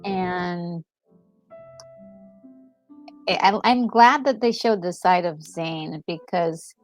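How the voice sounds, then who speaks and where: A woman with a fairly low voice chats casually as a gaming co-host. She is enthusiastic but halting, working out her thoughts as she speaks, with faint music behind her. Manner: enthusiastic, halting and thinking aloud. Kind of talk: casual reaction chat about a video game.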